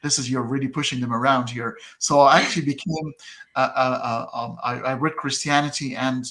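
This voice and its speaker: A man whose first language is Arabic, speaking as a guest in a casual conversation, thoughtful and halting with many restarts. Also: mid-range voice, steady tone, bright, forceful tone, rough recording.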